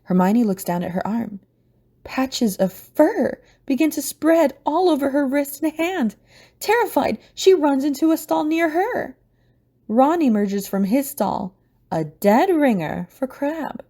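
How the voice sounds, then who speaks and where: A woman narrator tells a scripted audio play. Her voice is mellow and warm, with a very animated rise and fall. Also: high voice, measured pace.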